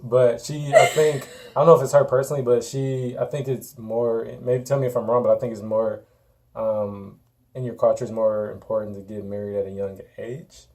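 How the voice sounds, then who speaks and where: A man's voice: medium pitch, level tone, moderate pace, darkish tone. A young adult man from the United States, co-hosting a casual couple chat in a teasing, laid-back way. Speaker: co-host.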